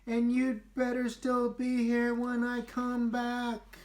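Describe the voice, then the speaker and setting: A man's voice: very high-pitched, completely flat delivery, slow pace, slight background noise, voice pushed up. A man voicing an earnest young village girl in a children's storybook, speaking in exclamations. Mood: determined.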